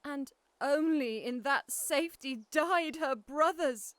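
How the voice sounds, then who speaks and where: A woman's voice: sits high, slow pace, dry recording. An actress playing a widowed queen who mourns her sons and accuses the king in impassioned verse. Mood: defiant grief. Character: grieving widowed queen, fierce mother. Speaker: actor. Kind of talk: Shakespearean audio drama.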